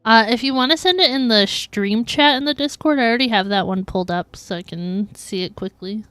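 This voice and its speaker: A woman's voice: fairly high pitch, brisk, fairly bright tone, dry recording. A woman livestreaming a game, reacting casually and chatting informally with her viewers. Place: livestream, game audio behind.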